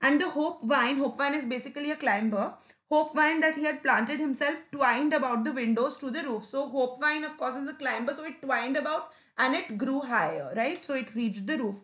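A woman's voice: high voice, moderate pace, fairly bright tone. A young adult woman with an Indian English accent, a Hindi native speaker, explaining a story line by line as an English teacher, often asking "right?" and "you know". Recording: echoey room.

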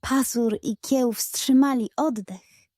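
Slow, mellow woman storyteller. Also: fairly high pitch, no echo, studio quality. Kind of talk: scripted bedtime story narration for children.

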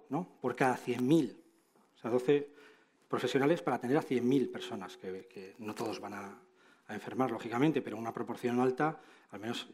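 Middle-aged male conference speaker, Madrid Castilian accent, mid-pitched, informal and wry, checking in with tag questions. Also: darkish tone. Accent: Castilian Spanish from Madrid. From Spain. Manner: conversational, frequent tag questions, wry asides. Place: large auditorium stage, indoors.

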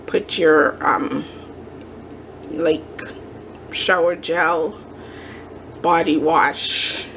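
A woman's voice: fairly low pitch, slight background noise, low-quality recording. A woman chatting casually as she shows off a craft shopping haul, gushing with many fillers, recorded at a kitchen counter. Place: home kitchen counter, indoors.

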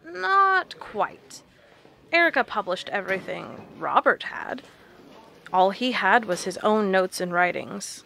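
An actress playing a blunt, stubborn researcher in an audio drama. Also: female voice, mid-range voice, sing-song, slow, creaky, bright, forceful tone, slight background noise, full character voice. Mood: firm, defiant. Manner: blunt, firm. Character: blunt, stubborn woman researcher, fed up and resolute.

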